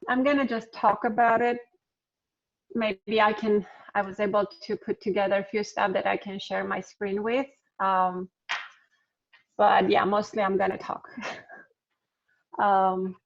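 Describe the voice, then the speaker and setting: A woman's voice: medium pitch, creaky. A middle-aged woman from Armenia with an Armenian accent, chatting casually on a video call, with frequent ums and little laughs. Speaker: alumna speaker. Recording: slightly dull video-call audio.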